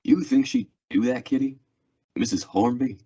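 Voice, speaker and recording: A man's voice: mid-range voice, wildly swooping pitch, normal speed, gravelly, dark tone, breathy edge, full character voice. A man acting a weary, stoic frontier marshal in an old-time radio western drama. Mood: calm, stoic. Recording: dull, lo-fi audio.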